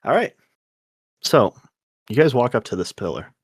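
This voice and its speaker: A man's voice: mid-range voice, big pitch swings, normal speed, slightly rough, no echo, studio quality. A man acting as game master, narrating a tabletop role-playing game in an informal, slangy, conversational way.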